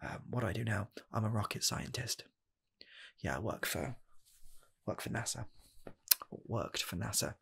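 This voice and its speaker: A man performs an earnest, nervous young scientist pitching himself in a comedic ASMR roleplay. His voice is low, very breathy and husky with a gravelly fry. He speaks softly and haltingly, doubling back on his phrases. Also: expressive, full character voice. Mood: earnest, eager. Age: 20s. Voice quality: soft, husky, gravelly, slipping into whispers. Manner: halting, stammering, repeats phrases. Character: eager, nervous rocket scientist applying for fast-food work. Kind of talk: comedic ASMR roleplay, improvised job interview.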